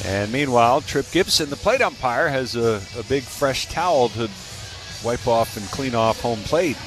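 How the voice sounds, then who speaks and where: A man's voice: mid-range voice, expressive, normal speed, music in the background. An American man calling live baseball play-by-play in a radio commentary style. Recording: clearly noisy live ballpark broadcast.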